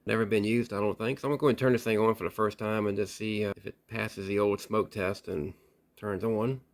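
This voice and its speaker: A low-voiced man hosting a relaxed show-and-tell about his radio collection. He talks plainly and easily, often pausing on an "uh" and repeating a word before going on. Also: a little hiss or hum. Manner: relaxed and plain-spoken, with frequent "uh" and repeated words. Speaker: radio hobbyist host.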